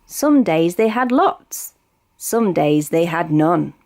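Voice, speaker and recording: Woman, British accent, children's storybook reader, sing-song rhymes, very animated intonation. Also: fairly low pitch, takes it slowly. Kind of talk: read-aloud of a rhyming children's picture book.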